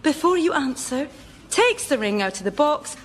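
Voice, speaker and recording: Indignant, incredulous woman for radio comedy; high-pitched, very lively delivery, clear noise behind. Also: normal speed. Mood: exasperated. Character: exasperated woman swapping bad boyfriend stories. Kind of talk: radio sketch comedy dialogue.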